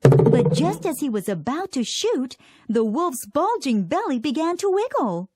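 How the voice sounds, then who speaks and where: Woman narrator, children's storytelling, lively intonation, highish pitch, scripted, prominent music underneath. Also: measured pace, no echo, studio quality. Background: loud music.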